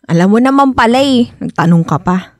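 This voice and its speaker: A woman acting a moody, hesitant young girlfriend in a radio drama, expressive, with a Filipino accent. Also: fairly high pitch, brisk, no room sound, clean studio sound, close to an everyday voice. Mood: sullen, tense.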